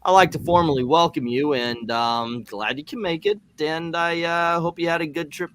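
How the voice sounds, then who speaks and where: A man playing a flustered, self-doubting chairman of a superhero team in an amateur comic read, halting and awkward. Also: fairly high pitch, lightly acted. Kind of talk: loose amateur comic-book table read.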